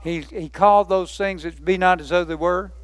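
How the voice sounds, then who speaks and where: A man's voice: high voice, steady tone, normal speed, no room sound. A man preaching a sermon, emphatic, building lists by repetition and asking rhetorical questions.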